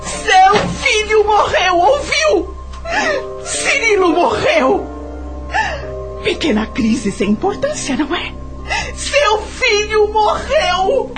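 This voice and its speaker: An actress with a Brazilian accent playing a dignified, bitter, grieving mistress of a mansion in a radio drama, speaking formally in a husky voice. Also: female voice, very high-pitched, very animated, music in the background, heavily acted. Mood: crying, enraged. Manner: formal, measured, commanding. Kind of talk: Portuguese-language radio drama acting.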